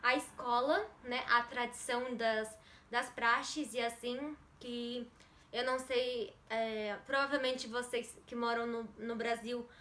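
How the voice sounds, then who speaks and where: A woman's voice: a little high, fairly even intonation, slow, pushed and bright. A young woman from Brazil with a Brazilian Portuguese accent, casually answering viewer questions in a chatty vlog and often correcting herself mid-sentence. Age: young adult. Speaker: YouTuber.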